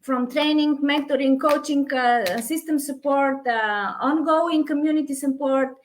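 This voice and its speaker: A woman with a Hungarian accent who runs an online business, talking in a halting way with many fillers and restarts. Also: high-pitched, a little hiss or hum. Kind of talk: casual conversation.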